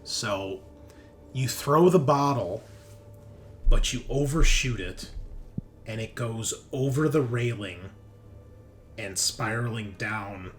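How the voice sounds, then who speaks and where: A man's voice: mid-range voice, slow pace, pauses often. A man improvising narration as game master of a tabletop roleplaying game, recorded with faint noise behind him.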